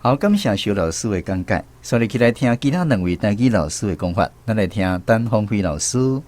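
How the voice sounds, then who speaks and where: A man with a Taiwanese accent hosting a radio language lesson in a measured, explanatory way. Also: on the low side, lively intonation, darkish tone. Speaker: radio show host.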